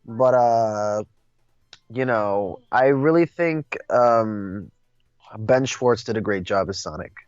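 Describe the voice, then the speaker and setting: A man chatting casually as co-host of a movie discussion, hesitant, with many pauses and fillers. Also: fairly low pitch, clean tone, dry recording. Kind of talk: casual chat. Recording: dull lo-fi audio, music bed. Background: music.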